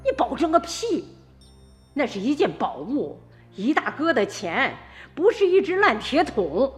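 A woman's voice: high voice, huge pitch swings, unhurried, faint music behind, full character voice. An actress, scolding her son sharply. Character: stern village mother, sharp-tongued and scolding. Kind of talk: radio drama acting.

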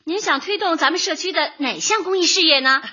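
High, bright contest hostess. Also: female voice, brisk, lo-fi sound. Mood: upbeat, encouraging. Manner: encouraging, formal presenter phrasing. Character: brisk, encouraging female community director hosting a contest. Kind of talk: comic radio drama acting.

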